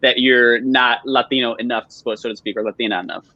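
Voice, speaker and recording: Fast-talking American man, bright forceful voice. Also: mid-range voice, steady tone, muffled recording. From New York and New Jersey, United States. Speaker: panel moderator. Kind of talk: casual roundtable interview. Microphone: live video call.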